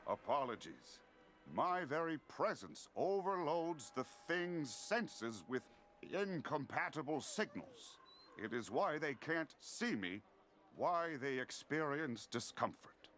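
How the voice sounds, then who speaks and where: A man voicing a troubled otherworldly being in a video game, speaking formally and haltingly, questioning itself. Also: a little high, big pitch swings, fairly bright tone, slight background noise, heavily acted. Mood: apologetic.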